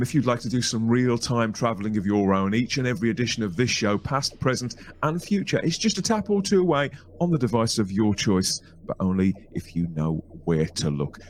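A man's voice: mid-range voice, slight background noise. A man with a Birmingham accent hosting a podcast, reading a playful, chatty intro and poking fun at himself. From England. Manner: playful, chatty, self-mocking. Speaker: podcast host.

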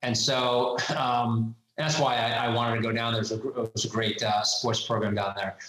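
A man's voice: medium pitch, level tone, normal speed, fairly bright tone. A man in his forties with a faint New York accent, a guest entrepreneur answering interview questions in a chatty, candid way. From New York, USA. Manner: chatty, candid, laughs easily. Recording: very echoey room.

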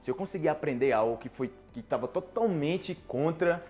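A young Brazilian man speaks to foreign learners in a slightly high voice. He talks in clear, simple words and keeps checking in with them. Faint music plays under his voice. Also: expressive, normal speed, a little mellow. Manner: encouraging, repeats "ok?" and "galera", clear simple wording. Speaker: Portuguese teacher. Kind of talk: motivational talk to learners.

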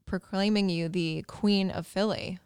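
Clear-voiced woman podcast host. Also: mid-range voice, fairly even intonation, unhurried, darkish tone, no room sound, studio quality. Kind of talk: casual podcast chat.

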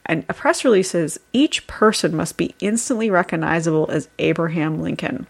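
Sniffly woman co-host, gravelly voice. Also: lowish voice, normal speed. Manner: chatty, laughing easily, reading news aloud. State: sniffly, congested. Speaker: podcast co-host. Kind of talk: casual chat.

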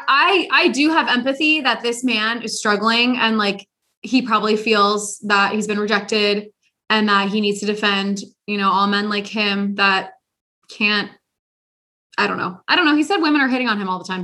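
A young woman co-hosting a podcast, chatting casually in an animated, sarcastic way and often laughing. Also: mid-range voice, moderate pace. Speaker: podcast co-host. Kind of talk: casual chat.

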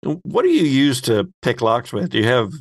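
A man acting as game master, improvising descriptive narration for a tabletop game in a halting manner. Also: mid-range voice, huge pitch swings, dry recording.

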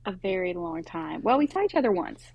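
A woman's voice: fairly low pitch, big pitch swings, fairly quick, highs cut off, a crowd in the background. A woman co-hosting a podcast in casual, rambling chat, talking over a basic home setup on a video call. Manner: bubbly, rambling, says "like" and "literally" a lot. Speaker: podcast co-host.

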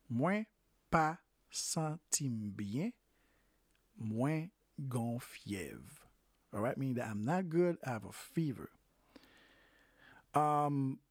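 A language teacher talks through a phrase lesson at an unhurried pace and repeats every phrase a few times. The pitch rises and falls widely, and there are small hesitations and self-corrections along the way. The voice is dry and close, with faint music underneath. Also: no room sound. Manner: slow, repeats each phrase several times. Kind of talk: informal phrase lesson for health workers.

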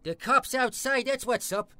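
A brash Italian-American hard man in a comedy sketch, with a very high-pitched voice and a New York accent. He is profane, slangy and menacing, recorded close and dry. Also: normal speed, bright, forceful tone, no room sound. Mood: urgent. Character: foul-mouthed, bigoted Italian-American tough guy, brash and menacing. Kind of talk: comic dialogue acting.

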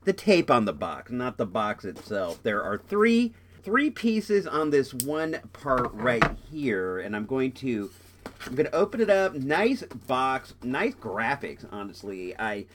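A man's voice: mid-range voice, big pitch swings, slow pace, fairly bright tone, a little hiss or hum. Stuffed-up man rambling about toys. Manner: rambling, jokey, self-interrupting. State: sick with a stuffed-up nose. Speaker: podcast host. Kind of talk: casual toy-unboxing chat.

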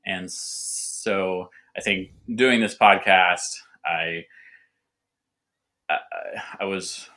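Guest, male, stutters; deep and bright, halting delivery. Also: fairly even intonation. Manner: hesitant, with restarts and repeated words. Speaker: winemaker guest. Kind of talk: casual podcast interview.

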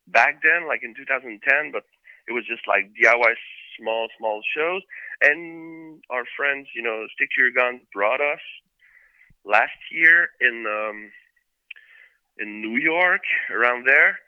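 A man's voice: mid-range voice, slow, clear, resonant tone, no echo, highs cut off. A man from Quebec with a French Canadian accent, a band member talking loosely in a casual interview, full of fillers and restarts.

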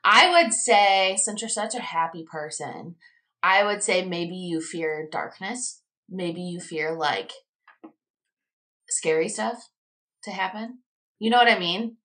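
A young woman co-hosting a casual chat, relaxed and asking the questions. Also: fairly low pitch, takes it slowly. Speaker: co-host.

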